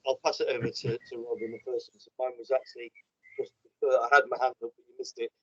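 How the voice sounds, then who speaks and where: A man chairs an online council meeting in a flat, level voice. He speaks haltingly, and the dull video call audio has a lo-fi sound. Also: mid-range voice, slightly rough. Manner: halting, with repeated "I think".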